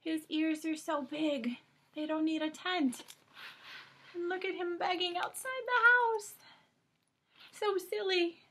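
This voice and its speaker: A middle-aged woman reading a picture book aloud to children as a teacher, expressive and deliberate, with drawn-out emphasis. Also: very high voice, slight background noise. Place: small home bedroom, indoors.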